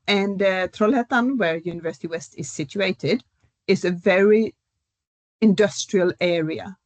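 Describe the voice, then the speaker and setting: Middle-aged woman academic, calm and explanatory, mid-range voice, dry recording. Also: mid-range voice, no room sound. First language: Swedish. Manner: measured, explanatory, with fillers. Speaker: professor, panel guest. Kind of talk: academic panel discussion.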